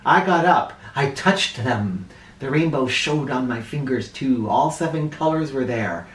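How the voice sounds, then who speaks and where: A man reads a children's storybook aloud at an unhurried pace with lively, swinging intonation. He sounds a bit away from the mic in a very echoey room. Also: mid-range voice, far from the mic. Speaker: children's read-aloud host. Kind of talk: animated storybook narration for children.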